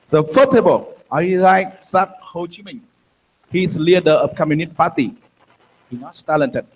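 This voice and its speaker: A middle-aged man with a Vietnamese accent, speaking at a conference in halting, earnest English with simple grammar into a handheld mic. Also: on the high side, slightly rough, low-quality recording. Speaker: guest speaker, former prisoner. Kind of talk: testimony in broken English. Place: dark conference hall stage.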